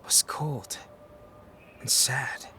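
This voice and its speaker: A man playing a troubled young psychic who narrates his story in a brooding, hushed, dramatic fan-dub style. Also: extremely slow, in a whisper, heavily acted. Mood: uneasy, pensive.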